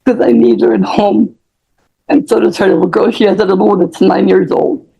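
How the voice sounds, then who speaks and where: A woman's voice: medium pitch, fairly even intonation, a little fast, gravelly, husky, lo-fi sound. An older woman giving an emotional, rambling plea as a witness in a courtroom. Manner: rambling, pleading. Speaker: witness, defendant's mother.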